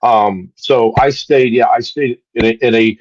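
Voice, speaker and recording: Male actor from Texas, upbeat storyteller, mid pitch, muffled dry audio. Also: fairly even intonation, a little slow, no room sound. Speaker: actor guest. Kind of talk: casual interview chat.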